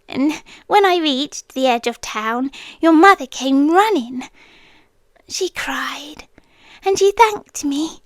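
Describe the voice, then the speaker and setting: An inhuman forest girl played by a woman speaks in a high, soft British voice, close and very dry. She takes her time, often dropping to a whisper. Her tone is tender yet possessive, as in a yandere ASMR roleplay. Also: dry recording. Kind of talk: intimate ASMR roleplay monologue.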